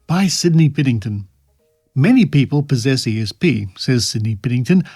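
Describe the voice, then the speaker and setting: A man presents as a podcast host, with a mid-pitched voice recorded very dry. He narrates and reads aloud in a measured, deliberate delivery, dropping in dry asides. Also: darkish tone, dry recording. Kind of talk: scripted narration mixed with reading old articles aloud and commentary.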